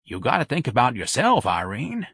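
Mild, wary old-fashioned gentleman voiced by a male reader, audiobook dialogue, slow, earnest, husky, dry sound. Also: medium pitch, huge pitch swings, no room sound, voice pushed up, full character voice.

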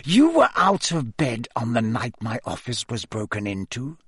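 A man voicing a menacing, vindictive male potions teacher in an audiobook, with hissing, drawn-out, cutting threats. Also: high voice, huge pitch swings, creaky, sharp tone, breathy edge, dry recording, studio quality, pitched above the performer's own voice, heavily acted. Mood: cold menace.

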